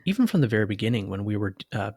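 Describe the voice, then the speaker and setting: A man's voice: lowish voice, very animated, very fast, a little mellow, husky, no echo, studio quality. A man co-hosting a podcast, talking in a casual, chatty way and often hesitating mid-thought as he works out what to say. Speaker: podcast co-host and reader.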